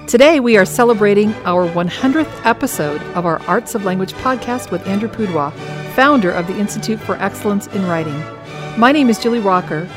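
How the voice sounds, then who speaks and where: A woman co-host reading a polished, welcoming podcast introduction. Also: medium pitch.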